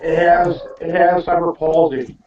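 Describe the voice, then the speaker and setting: A man talking casually in a group discussion, halting and repeating himself. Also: fairly high pitch, level tone, crowd noise. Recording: very echoey, distant, dull mic.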